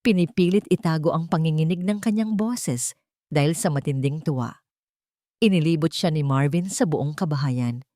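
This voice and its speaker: A woman's voice: sits low, moderate pace, mellow, no room sound, studio quality. A woman narrating a sentimental scripted story as a storyteller, in a dramatic reading style.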